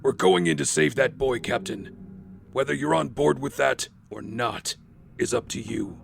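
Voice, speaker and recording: A man's voice: mid-range voice, measured pace, creaky, a little hiss or hum. A man playing an earnest, brooding vigilante in an audio drama, grave and determined.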